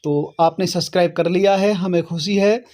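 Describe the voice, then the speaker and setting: A man with a high-pitched voice gives an instructional talk for an online audience. He lays out procedures one step at a time, addressing viewers directly and punctuating his points with small confirmations. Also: steady tone, moderate pace, darkish tone. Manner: step-by-step, repeatedly checking with "okay". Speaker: host of an online how-to channel. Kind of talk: explanatory tutorial talk to viewers.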